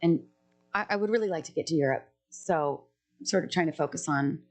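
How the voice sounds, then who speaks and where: Lowish woman's voice, singer guest on a podcast, rambly and chatty, lots of restarts. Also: a little fast. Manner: chatty, jumps between thoughts, many restarts. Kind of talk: casual podcast interview.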